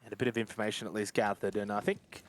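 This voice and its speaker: A man calling a competitive shooter match play-by-play, energetic and rising to excited shouts on big plays. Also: lowish voice, quick pace. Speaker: esports caster. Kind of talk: play-by-play esports commentary.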